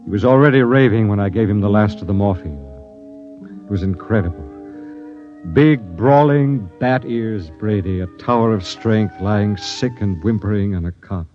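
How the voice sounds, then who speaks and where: An actor playing a grave, driven expedition captain, dramatic and deliberate, in old-time radio drama style. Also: male voice, on the low side, lightly acted. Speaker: narrator and lead actor. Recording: dull vintage radio recording with music.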